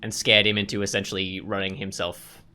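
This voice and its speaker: Guy doing a chill lets play, lowish but bright voice, kinda hesitant, thinking out loud. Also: level tone, a little fast. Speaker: gaming video host. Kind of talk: casual let's play commentary.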